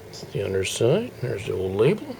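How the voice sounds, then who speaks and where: Male hobbyist presenter, low creaky voice, informal and halting delivery, faint background noise on a camera mic. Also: huge pitch swings, dark tone. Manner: halting, thinking aloud while showing parts. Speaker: hobbyist radio restorer presenting his project. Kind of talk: casual informal video walkthrough.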